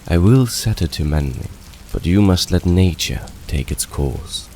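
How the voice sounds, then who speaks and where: A man playing a wise, stern but compassionate old dragon lord in human form, speaking in a solemn, measured, formal way in an audio drama. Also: deep voice, lively intonation, creaky, warm tone, slight background noise, full character voice. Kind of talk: audio drama character acting.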